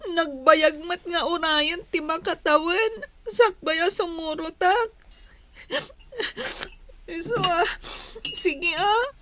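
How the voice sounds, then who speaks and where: Radio drama child role for a woman: plaintive young Ilocano-accented girl, tearful, very high, unhurried. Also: fairly bright tone, full character voice. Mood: tearful pleading.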